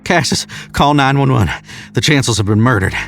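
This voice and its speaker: Man acting a panicked, high-strained horror novelist. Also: animated, moderate pace, creaky, faint music behind. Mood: alarmed. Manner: urgent, frightened exclamations. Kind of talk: audio horror fiction acting.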